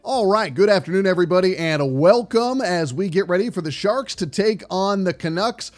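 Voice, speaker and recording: A man's voice: high voice, animated, moderate pace, soft background music. A man hosting a sports pregame radio show, giving commentary in fluent, wordy phrasing.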